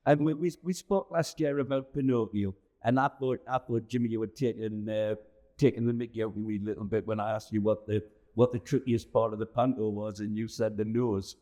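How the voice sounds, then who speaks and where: A male interviewer with a soft, dark timbre. His pitch is average and his questions come in an informal, halting, chatty manner. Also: fairly even intonation. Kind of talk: casual interview chat.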